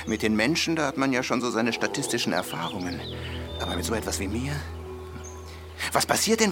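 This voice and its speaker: A man's voice: medium pitch, natural voice. Radio play role: male actor as a bitter, brooding man-ape hybrid, probing and self-lacerating, music underneath. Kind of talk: German radio drama acting.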